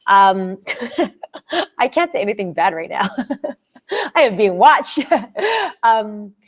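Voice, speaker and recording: A young woman from Canada chats through a live stream Q&A in a voice of ordinary pitch for a woman. She is bubbly and talkative, giggles often, and fills her answers with "um" and "like" as she reads and answers viewer comments. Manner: bubbly and chatty, giggles often, many fillers and restarts. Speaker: YouTuber hosting a live Q&A.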